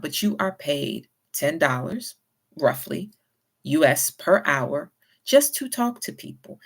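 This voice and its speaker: A woman with a light Southern US accent, talking at an unhurried pace and giving friendly, encouraging advice to her viewers. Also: on the low side. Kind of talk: casual advice vlog.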